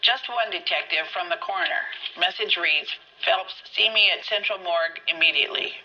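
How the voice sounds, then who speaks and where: A woman's voice: on the low side, brisk, lo-fi sound, background noise. A woman playing an efficient, polite 1940s police telephone operator in a game, heard over a telephone. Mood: businesslike. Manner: businesslike, polite.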